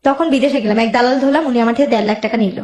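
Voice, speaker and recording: Woman, Bangladeshi accent, personal storytelling, rapid delivery, quoted dialogue, roomy echo. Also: fairly high pitch, a little mellow. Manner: recounts dialogue by quoting both sides. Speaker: guest telling own life story. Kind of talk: emotional personal storytelling in conversation.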